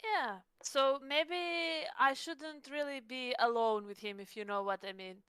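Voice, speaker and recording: Bright, high-voiced woman at a game table. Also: fairly even intonation, a little slow, clean tone, no room sound, studio quality. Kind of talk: casual table talk, asking questions.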